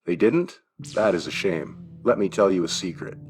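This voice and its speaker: A man's voice: low voice, expressive, moderate pace. A man voicing a kindly, wry old German shopkeeper in an audiobook story, with a thin accented voice and avuncular questions. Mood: warm, confiding. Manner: avuncular, chuckling, rhetorical questions.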